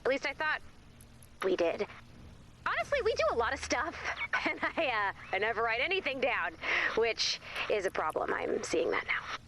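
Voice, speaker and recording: Quirky young team leader, very high lively voice, bright, chatty, comedic, game dialogue. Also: female voice, moderate pace, noisy background. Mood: flustered. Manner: chatty, animated, comedic swings. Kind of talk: video game character voice acting.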